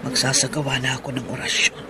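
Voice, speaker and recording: A man's voice: medium pitch, completely flat delivery, fast talker, lightly acted. An actor playing a vengeful old widowed woman who is a sorceress, with a menacing, threatening manner, on a noisy radio drama track with buzzing bees behind. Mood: sinister. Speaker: villain in a radio horror drama.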